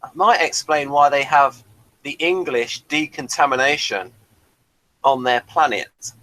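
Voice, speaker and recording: A man hosting a casual TV review as a keen fan, speaking in a halting way with restarts. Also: on the high side, a bit bright, dry recording, low-quality recording. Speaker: host of a TV review show.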